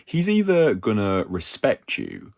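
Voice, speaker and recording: Young guy, deep low voice, chatty coaching talk full of "like" and "yeah?". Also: a little slow, vocal fry. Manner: animated, slangy, full of "like" and "yeah?" tags. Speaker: dating coach. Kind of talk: casual advice talk.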